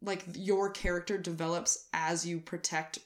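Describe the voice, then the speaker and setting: A woman co-hosting a casual podcast chat, with a slightly raspy voice and a lingering cold, talking frankly. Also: on the low side, little pitch movement, normal speed. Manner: frank, conversational, many fillers. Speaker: podcast co-host.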